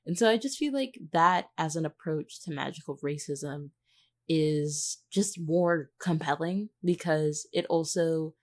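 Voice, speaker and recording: A woman's voice: deep voice, a little slow, darkish tone. A woman chatting on a podcast in an informal, reflective, analytical way, often padding her points with "like". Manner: informal, reflective, hedging with frequent 'like'. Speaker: voice actor, podcast guest. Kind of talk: casual analytical podcast chat.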